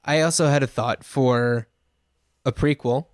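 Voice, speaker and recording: A man co-hosting a casual movie podcast, easygoing and quick to laugh. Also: mid-range voice, steady tone, clean tone, no echo, clean studio sound. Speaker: podcast co-host. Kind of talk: casual chat.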